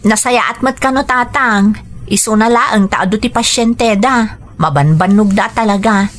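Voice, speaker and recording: An actress with a northern Philippine accent playing a cheerful, dutiful young daughter in a radio drama. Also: female voice, medium pitch, unhurried, close to an everyday voice. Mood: caring, earnest. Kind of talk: Ilocano radio drama acting.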